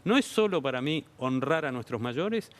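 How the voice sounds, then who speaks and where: Measured Argentine government official. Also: male voice, medium pitch, animated. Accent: Argentine Spanish. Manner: measured, explanatory, policy talk with figures. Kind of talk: TV interview answers. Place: TV studio.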